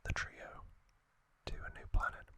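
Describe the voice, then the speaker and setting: A man hosting an ASMR channel, whispering an informal, unhurried explainer in a hushed voice. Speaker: host of an ASMR trivia channel.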